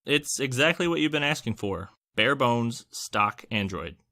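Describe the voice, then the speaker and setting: A man's voice: medium pitch, lively intonation, a little slow, pushed and bright. A man hosting a scripted product review, narrating with wry, joking asides. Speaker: tech reviewer and host.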